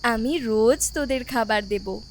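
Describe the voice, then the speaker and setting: A high-pitched older auntie acted by a woman from a dramatized audio story, kindly and a little bossy. She draws her words out in a slow, coaxing way. Crickets and a noisy ambience sit behind her. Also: close to an everyday voice.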